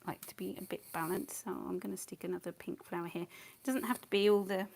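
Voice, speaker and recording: A woman's voice: fairly low pitch, fairly even intonation, a little fast, vocal fry, darkish tone. A woman losing her voice, teaching watercolour painting live in a casual, rambling way and often muttering to herself as she works. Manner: chatty, rambling, thinks aloud, often mutters under her breath.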